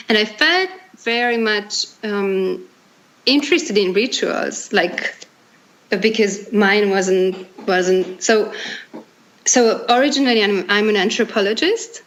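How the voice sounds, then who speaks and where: A woman in her thirties from Hungary with a Hungarian accent, a non-native English speaker telling her story as an interview guest in an earnest, halting way with many restarts. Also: medium pitch, a bit bright, poor audio. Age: 30s. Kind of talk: casual interview chat.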